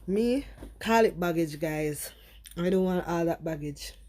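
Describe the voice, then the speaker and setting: A woman's voice: fairly low pitch, unhurried, warm tone. A young woman with a Trinidadian accent, chatting casually to her viewers in a vlog and slipping into Trini creole. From Trinidad and Tobago. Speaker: vlogger.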